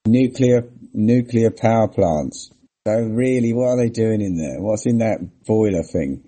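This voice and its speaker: Man, casual chat, rambling, hesitant, husky, mellow dark voice. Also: lowish voice, animated, a little slow.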